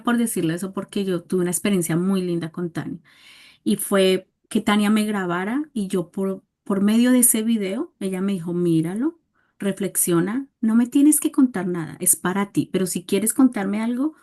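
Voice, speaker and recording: A woman's voice: medium pitch, warm tone. A woman coach talking on a panel, telling an earnest, reflective story about her own growth. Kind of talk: panel conversation.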